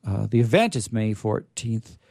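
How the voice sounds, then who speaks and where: A man's voice: fairly low pitch, animated, normal speed, gravelly, warm tone, no echo, clean studio sound. A man hosting a live radio theater show in a florid, playful, theatrical manner.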